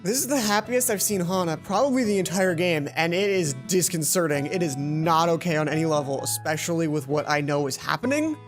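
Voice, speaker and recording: A woman's voice: fairly low pitch, expressive, fairly quick, few pauses, soft background music. A woman doing a let's play, reading a visual novel aloud and adding casual commentary. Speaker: let's player.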